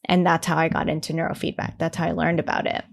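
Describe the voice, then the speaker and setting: Woman in her 20s on a podcast, lowish voice, rambly, says like a lot. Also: fast talker, vocal fry. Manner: rambling, frequent "like" fillers, animated retelling. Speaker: podcast guest. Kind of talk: candid conversational chat.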